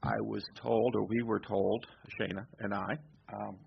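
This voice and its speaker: A man chairing a committee meeting, relaxed and hesitant with frequent ums, recorded in a meeting room. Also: lowish voice, a little slow, creaky, breathy edge, faint music behind. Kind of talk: running a meeting. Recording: dull lo-fi recording.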